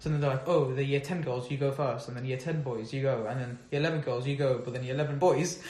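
Fast-talking man in an echoey room. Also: mid-range voice, steady tone, darkish tone. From Sweden. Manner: fast storytelling with laughs. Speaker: podcast co-host. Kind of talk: casual chat.

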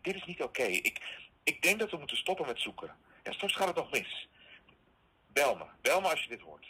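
An actor playing a worried, rattled man leaving a halting, urgent voice message in an audio drama. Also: a little high, very animated, bright, forceful tone, slight background noise, poor audio. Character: worried, rattled male associate warning by voice message.

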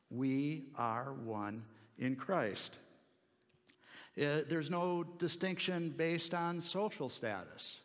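Slow-paced male preacher in a reverberant hall. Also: mid-range voice, a bit bright. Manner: deliberate, explanatory, with pauses between short phrases. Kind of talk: expository sermon.

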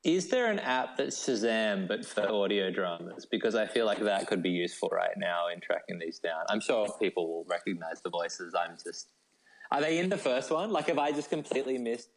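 A man's voice: on the low side, expressive, normal speed, creaky, poor audio. An Australian man chatting casually as an interview guest in a laid-back, self-deprecating way. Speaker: guest, novelist.

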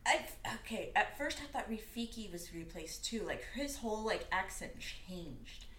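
A woman's voice: medium pitch, animated, normal speed, a bit bright. A young woman guesting on a podcast, chatting casually and laughing often, often trailing off mid-sentence. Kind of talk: casual movie review chat. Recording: echoey room with faint noise.

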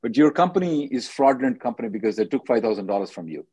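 A man's voice: medium pitch, animated, quick pace, mellow, husky, no room sound. A man hosting a call-in as an attorney, advising callers in a blunt, directive way and often checking with "okay?".

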